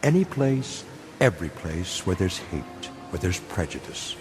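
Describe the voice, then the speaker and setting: Ominous deep narrator, solemn measured phrasing, radio drama, music behind him. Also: male voice, big pitch swings. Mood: ominous, grave.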